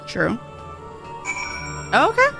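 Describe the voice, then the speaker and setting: A woman's voice: high-pitched, huge pitch swings, very slow, vocal fry, bright, forceful tone, faint music behind. A woman streaming a game, reacting casually as she plays.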